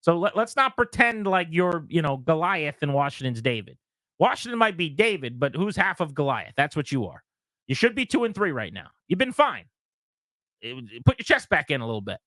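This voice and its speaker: A man hosting a sports talk radio show who argues his points in an animated, argumentative way. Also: on the high side, normal speed, dry recording. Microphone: studio mic.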